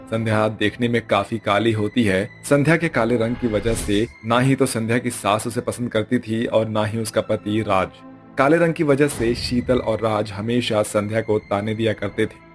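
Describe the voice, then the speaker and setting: Guy narrating a cartoon story, kinda low voice, soft music under it.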